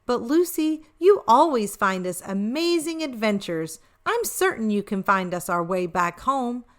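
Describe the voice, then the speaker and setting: A woman's voice: on the high side, sing-song, unhurried. A woman voicing a loyal young beagle pup in a storybook, earnest and encouraging.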